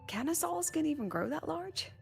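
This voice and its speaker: An actress playing a weary, wry academy president in a video game, sly and chatty, talking conversationally with sighs and coy pauses. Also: female voice, high voice, big pitch swings, vocal fry, exaggerated voice. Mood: relaxed, wistful. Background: background music.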